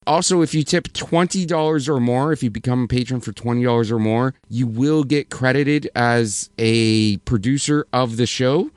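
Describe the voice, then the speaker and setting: A man with a hoarse voice co-hosting a casual podcast, rambling and correcting himself as he goes. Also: medium pitch, normal speed, hardly any pauses, no room sound, clean studio sound. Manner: rambling, self-correcting, apologetic. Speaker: podcast co-host.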